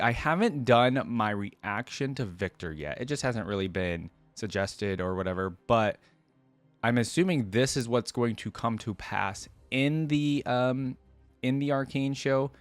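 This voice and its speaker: Male reaction host, American, lowish voice, casual and conversational, reads aloud with off-the-cuff asides, very dry recording. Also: no echo. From United States.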